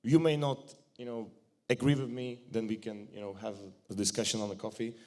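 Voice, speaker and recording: A man with a Czech accent giving a joking, blunt conference talk, with frequent restarts and a fairly low voice. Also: steady tone, a little fast, dark tone. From Czech Republic. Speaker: conference speaker. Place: conference hall.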